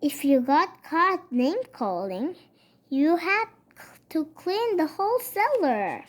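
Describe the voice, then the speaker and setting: High-pitched child reading a storybook aloud, slowly. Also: very animated, fairly bright tone. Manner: halting, stumbles over words and rereads.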